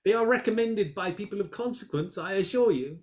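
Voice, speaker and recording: A man playing an excitable, self-satisfied gentleman critic in a period stage comedy, exclamatory and blustering. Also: high-pitched, fairly quick. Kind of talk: theatrical period comedy play reading.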